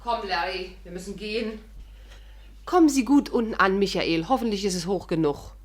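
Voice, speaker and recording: A woman's voice: mid-range voice, normal speed, close to an everyday voice. An actress playing a witty, self-assured lady with a teasing manner in a 1950s German radio play. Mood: dryly mocking.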